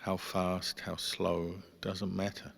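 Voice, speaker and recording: Male meditation teacher, low and very even, measured phrasing, heard in a long hall reverb. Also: vocal fry, breathy edge. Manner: measured, pausing between short phrases. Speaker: Buddhist monk leading guided meditation. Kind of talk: guided meditation instruction.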